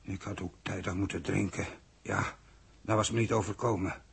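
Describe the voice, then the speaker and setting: Gravelly, bewildered thirsty city-dweller. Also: male voice, low-pitched, steady tone, moderate pace, heavily acted. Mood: hesitant, puzzled. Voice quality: husky, gravelly. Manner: hesitant, earnest, bewildered. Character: thirsty, confused, submissive male city-dweller from a future underground society. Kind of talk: 1960s Dutch radio drama acting. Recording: old 1960s radio recording.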